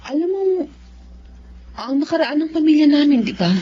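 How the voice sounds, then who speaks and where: A woman acts as a devoted young doctor and daughter in a radio drama. Her voice is high, sweet and earnest, on a slightly muffled recording with faint noise. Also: moderate pace, natural voice. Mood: anxious.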